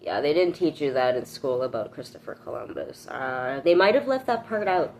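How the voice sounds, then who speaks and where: Low-pitched, lively woman reading aloud. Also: normal speed, slight background noise. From Canada. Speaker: host reading a book aloud with commentary. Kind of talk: read-aloud with informal commentary.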